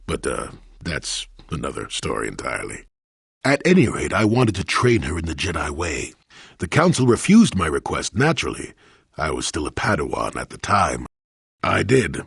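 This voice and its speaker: Wanted: gruff elderly ex-warrior in a video game, deep and raspy, wry and rueful, with big dramatic swings. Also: male voice, huge pitch swings, normal speed, a bit bright, dry recording, studio quality, full character voice. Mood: wistful, wry. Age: older. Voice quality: gravelly, husky. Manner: wry, rambling, dramatic storyteller. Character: gruff, rueful, cantankerous old male former Jedi. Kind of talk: scripted video game voice acting.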